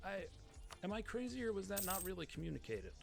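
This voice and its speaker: A man giving casual, rambling, profane game commentary, breaking off and restarting his thoughts, with excitable exclamations and muttered asides. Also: high voice, a little fast, gravelly, over music. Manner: rambling, self-interrupting, profane. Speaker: Let's Play gamer and commentator. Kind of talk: casual game commentary with dramatic readings of game text.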